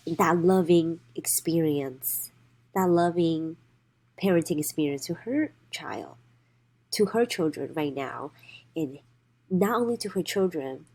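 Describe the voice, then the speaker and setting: A woman's voice: low voice, a little mellow, rough recording. A woman hosting a podcast, introducing her guest with enthusiasm and repeating herself as she talks. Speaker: podcast host. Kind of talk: podcast intro and casual interview.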